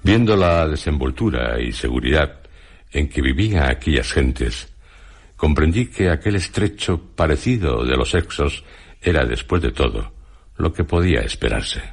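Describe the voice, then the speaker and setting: An actor playing a reflective, grave male time traveller, in a measured, solemn radio monologue. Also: a bass voice, animated, full character voice. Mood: reflective, explanatory. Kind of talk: dramatized literary monologue in a radio programme.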